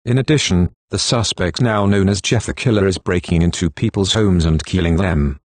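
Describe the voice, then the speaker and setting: A deep-voiced male announcer, acted by a man for a scripted emergency drama. He delivers reports and official warnings formally and at a measured step. The recording is dry, without any echo. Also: darkish tone. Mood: formal, urgent.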